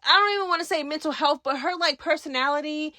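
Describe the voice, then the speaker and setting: A woman's voice: high-pitched, quick pace, sharp tone, dry recording, studio quality. A woman giving casual gossip commentary as a channel host, speaking in a sassy, emphatic, slangy way. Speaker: host of a reality TV recap channel.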